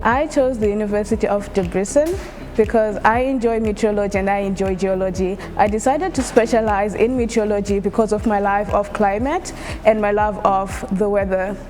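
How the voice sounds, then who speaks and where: A woman's voice: medium pitch, steady tone, fairly quick, darkish tone, soft background music. A young woman studying meteorology, speaking earnestly and with enthusiasm about her plans. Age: young adult. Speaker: meteorology student. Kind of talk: testimonial.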